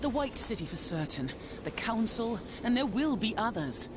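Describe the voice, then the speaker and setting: A woman's voice: on the high side, big pitch swings, normal speed, heavy background noise. A woman playing a grave, urgent figure giving warning in a dramatic video game scene. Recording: loud music behind.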